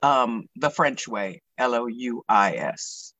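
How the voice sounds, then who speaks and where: An American man in his sixties from Pennsylvania, recalling his life in an interview in a reflective, hesitant way, pausing to choose his words. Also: mid-range voice, expressive, fairly bright tone, no echo, dull audio. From Pennsylvania, United States. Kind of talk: oral history interview, personal recollection.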